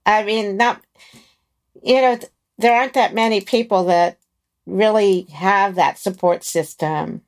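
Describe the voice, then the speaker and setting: A woman's voice: medium pitch, steady tone, unhurried. Effusive woman interviewer. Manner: effusive, admiring.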